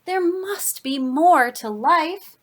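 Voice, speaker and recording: A woman's voice: on the high side, sing-song, slow pace, full character voice. A woman voicing a dissatisfied talking shape from a storybook, drawn out and wishful, always wanting more. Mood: grumbling, discontented.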